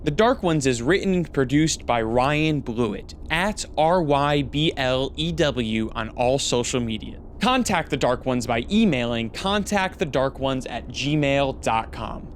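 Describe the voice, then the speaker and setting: Mid-pitched male radio drama narrator. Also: animated, a little slow, few pauses, a little hiss or hum.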